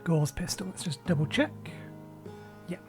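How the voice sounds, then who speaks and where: A man hosting a let's-play, talking through his game in a rambling, casual way with lots of ums, his voice a little raspy from a recent cold. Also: high voice, sing-song, a little slow, soft background music. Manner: rambling, self-correcting with frequent ums. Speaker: gaming channel host.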